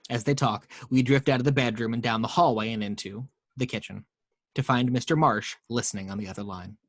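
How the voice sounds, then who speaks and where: A man reading the action lines of a screenplay aloud, steady and even with small quick stumbles. His voice sits in the middle of a man's range. The sound is dry and close, on a home microphone. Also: mid-range voice, no room sound.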